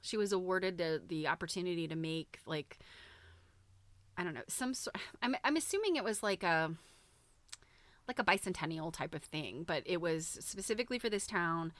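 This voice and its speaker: A woman host chatting casually on a livestream in an informal, halting way, thinking aloud as she goes. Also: lowish voice, animated, fairly bright tone, no room sound. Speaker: host of a live quilting book show. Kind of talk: casual livestream chat, commenting while browsing a book.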